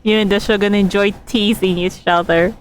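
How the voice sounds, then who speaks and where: A woman's voice: mid-range voice, fairly even intonation, moderate pace, rough recording. A woman livestreaming a game with casual commentary, musing and thinking aloud as she reacts. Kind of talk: casual gameplay commentary, reacting to story dialogue. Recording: background game ambience and noise.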